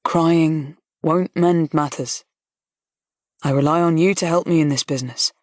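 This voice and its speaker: Woman voicing a calm, kindly colonel. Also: deep voice, unhurried, no echo, studio quality. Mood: firm, kind. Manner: pleasant, steady, gently frank. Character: kind, calm older male colonel. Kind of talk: audiobook character voice.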